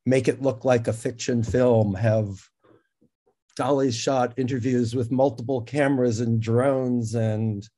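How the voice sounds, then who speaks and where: A man's voice: medium pitch, steady tone, measured pace, dark tone. A man moderating a conversation, putting questions in a casual interview style.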